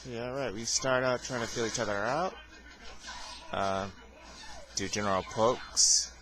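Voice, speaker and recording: A man's voice: medium pitch, measured pace, a bit bright, poor audio. A man giving casual fighting-game commentary, rambling and hesitant, with many fillers and restarts. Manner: calls his own voice boring. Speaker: gameplay commentator.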